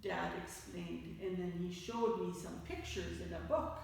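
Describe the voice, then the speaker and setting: A woman reading a picture book aloud to children, with expressive, deliberate phrasing that stresses key words. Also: fairly low pitch, creaky. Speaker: children's story reader. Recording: echoey hall, distant mic, faint noise.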